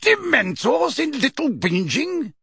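A man reading aloud, voicing a stern older female judge who booms her questions slowly and emphatically. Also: wildly swooping pitch, pushed and bright, full character voice. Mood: probing. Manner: booming, slow and emphatic.

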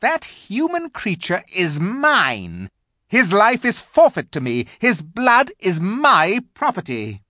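A performer voicing a cruel, haughty witch queen in a storybook, shrill and declamatory with a snarling edge. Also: huge pitch swings, slow pace, bright, forceful tone, no echo, clean studio sound, full character voice. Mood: coldly triumphant.

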